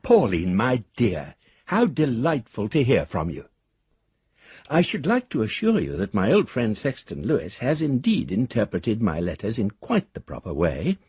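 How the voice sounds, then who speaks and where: An actor playing an urbane, roguish gentleman in a radio drama, husky, courtly and formal. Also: fairly low pitch, huge pitch swings, moderate pace, dry recording, muffled recording, exaggerated voice. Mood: suavely pleased. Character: Julius, urbane, roguish gentleman letter writer.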